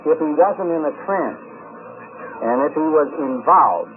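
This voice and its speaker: A man's voice: a little high, expressive, a little slow. Guy doing probing radio interviews at some busy event, old tinny 1950s tape, lots of noise. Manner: probing, insistent questioning. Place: busy convention, reporting on location. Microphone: shared interview microphone. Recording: noisy, thin 1950s radio field recording.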